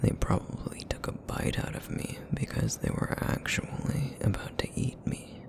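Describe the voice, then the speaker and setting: A man voicing a broody, feral essence eater in an ASMR roleplay, with a raspy, rumbling murmur and a weary, guarded, reluctant manner. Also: lightly acted. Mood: brooding. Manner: brooding, reluctant, slow and terse.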